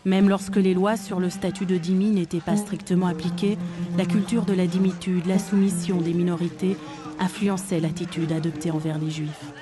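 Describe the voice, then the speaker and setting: A woman giving measured, formal scripted narration for a documentary. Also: lowish voice, little pitch movement. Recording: narration over guitar music.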